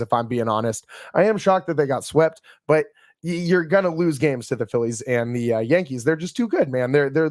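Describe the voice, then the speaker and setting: Man, podcast host, raspy worn voice, chatty with fillers, slightly high, dry close sound. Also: no echo. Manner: chatty, frequent restarts and fillers. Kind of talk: casual sports podcast recap.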